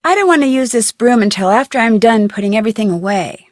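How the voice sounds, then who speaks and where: A woman's voice: fairly high pitch, animated, fast, soft background music, natural voice. A woman playing a curious young woman sleuth in an adventure game, musing aloud to herself. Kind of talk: scripted adventure game monologue.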